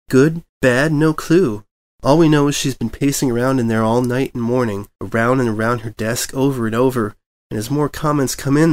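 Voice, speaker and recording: A man voicing an anxious, gossipy female newspaper columnist pony, speaking in a hushed, urgent, pointed way. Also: medium pitch, no echo, natural voice.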